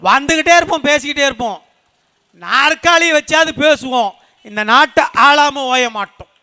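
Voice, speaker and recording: A man's voice: very high voice, lively intonation, moderate pace, pushed and bright. A man giving a fiery political rally speech as a party speaker, hammering points with rhetorical questions and repetition. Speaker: party speaker at a public meeting.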